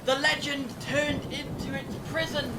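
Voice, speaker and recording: An actor playing a clever, grave time-travelling adventurer in a fan audio drama, explaining things in a measured, dramatic way. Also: little pitch movement. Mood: intent, reasoning. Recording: distant mic, rumbling storm-like noise.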